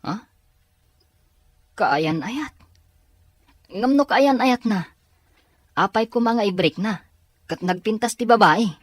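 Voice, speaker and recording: A woman's voice: mid-range voice, animated, takes it slowly, long pauses, breathy edge, dry recording. Woman playing an earnest lovelorn young student. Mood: puzzled. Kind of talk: audio drama acting.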